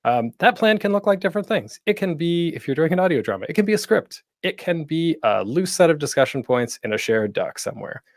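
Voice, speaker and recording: A man's voice: fairly high pitch, very animated, a little fast, hardly any pauses, no echo, studio quality. A man presenting an instructional panel in a casual, informal way, often breaking off into asides. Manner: informal, self-interrupting, tangential asides.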